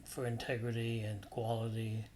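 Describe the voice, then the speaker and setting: A man's voice: lowish voice, monotone, moderate pace, a little mellow, slight background noise. A man narrating a painting demonstration as an instructor, in an informal, explanatory and somewhat hesitant way.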